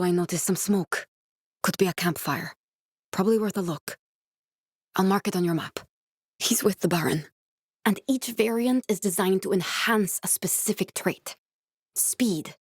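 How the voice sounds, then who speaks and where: A woman's voice: mid-range voice, breathy edge, no echo, clean studio sound. An earnest, guarded woman scientist. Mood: calm, instructive. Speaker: video game voice actress. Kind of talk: video game dialogue.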